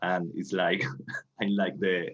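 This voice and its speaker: A man from Spain with a low voice chats in his second language. He searches haltingly for words as he tells stories, and the sound is slightly dull and lo-fi. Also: little pitch movement, a bit bright. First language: Spanish. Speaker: playwright, panel guest. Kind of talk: conversational anecdote telling. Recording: dull, lo-fi online call audio.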